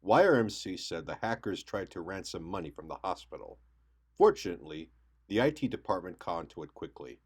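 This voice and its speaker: A man's voice: low-pitched, big pitch swings, a little fast, husky. A young man reading a scripted local news report as a reporter. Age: young adult.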